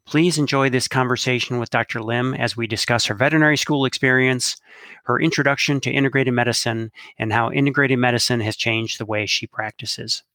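A man's voice: medium pitch, fairly even intonation, brisk, pushed and bright, no room sound. A man hosting an interview, delivering introductions and questions to a guest. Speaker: interviewer and host.

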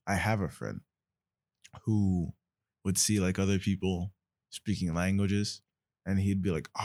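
A man's voice: sits low, a little mellow, no room sound, clean studio sound. A young man hosting a podcast, telling a story in a reflective, casual way. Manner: reflective, storytelling, frequent fillers. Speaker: podcast host.

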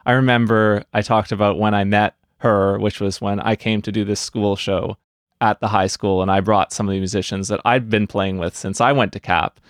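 A man's voice: on the low side, steady tone, normal speed, no room sound, clean studio sound. Upbeat man hosting a podcast. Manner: upbeat, scripted introductions. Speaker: podcast host.

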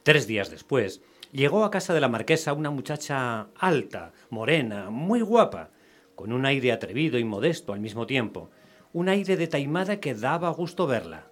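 Medium-pitched male radio theatre narrator. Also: big pitch swings, moderate pace, a bit bright.

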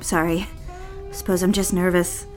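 An actress playing a guilt-ridden, defiant young bride-to-be in an audio drama, brooding and defensive in manner. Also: female voice, fairly low pitch, little pitch movement, moderate pace, music in the background, natural voice. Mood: subdued, evasive. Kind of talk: audio drama, confessional first-person narration and dialogue.

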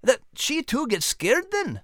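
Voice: high-pitched, huge pitch swings, a little slow, fairly bright tone, dry recording, studio quality, heavily acted. An actor playing a plucky, cheeky little male terrier with a Scottish accent, co-hosting a children's story podcast. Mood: chatty, cheeky. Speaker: co-host.

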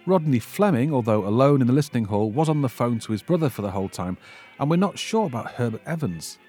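A man reading a scripted narration that recaps an old mystery film, with a husky voice. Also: mid-range voice, expressive, fast, warm tone, soft background music. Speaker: narrator presenting a film summary.

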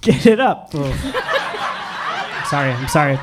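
A man co-hosting a live movie podcast, with a fairly high voice. He talks in a relaxed, wry way and swears offhandedly. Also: slow pace, heavy background noise. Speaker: podcast co-host. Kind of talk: casual movie chat at a live show.

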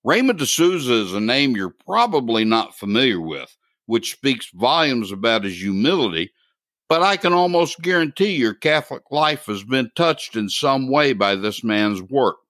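A man's voice: medium pitch, expressive, normal speed, fairly bright tone, no room sound. A man hosting a podcast interview who introduces and welcomes his guest with enthusiasm. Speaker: podcast host.

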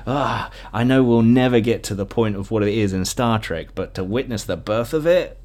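A young British man with a fairly low-pitched voice hosts a solo podcast. He rambles casually from one memory to the next, informal and enthusiastic, thinking out loud as he goes. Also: normal speed. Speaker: podcast host.

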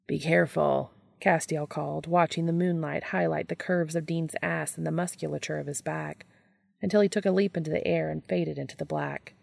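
A woman's voice: low voice, steady tone, brisk, creaky, darkish tone, a little hiss or hum. A woman reading fiction aloud as scripted audiobook narration. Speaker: audiobook reader of fan fiction.

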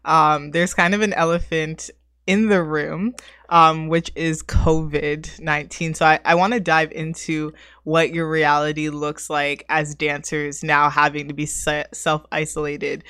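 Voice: mid-range voice, level tone, measured pace, pushed and bright, smooth, no echo, clean studio sound. A podcast host interviewing guests in a casual, informal and slangy way.

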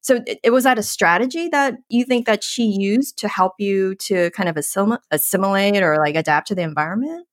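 A woman's voice: mid-range voice, a little fast, hardly any pauses, no room sound, studio quality. A woman co-hosting a podcast, asking attentive follow-up questions. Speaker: podcast co-host. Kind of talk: interview questions.

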